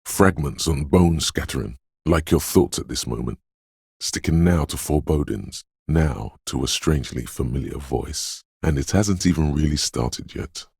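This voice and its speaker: A man voicing a detective's brooding, mystical intuition in a video game, with a deep, gravelly voice and slow, ominous, dreamlike delivery. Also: a little mellow, no echo, clean studio sound, heavily acted. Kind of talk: scripted video game narration in second person.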